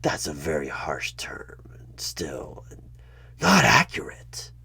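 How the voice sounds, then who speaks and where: A man voicing a sly, coaxing demon recruiter in a husky whisper for a horror ASMR audio roleplay. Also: extremely low pitch, sharp tone, exaggerated voice. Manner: slow, drawn-out, insinuating, persuasive.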